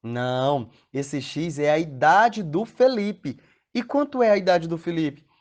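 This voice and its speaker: A man's voice: on the high side, lively intonation, a little slow, a little mellow, muffled recording. A man with a Brazilian Portuguese accent teaching a recorded math lesson, patiently explaining step by step and often checking in with the class through questions.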